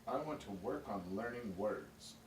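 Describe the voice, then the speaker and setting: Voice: medium pitch, expressive, measured pace, close to an everyday voice. A performer playing a polite, hesitant young male student in an amateur puppet show, giving short, simple answers. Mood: hesitant, earnest. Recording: roomy, distant mic with faint noise.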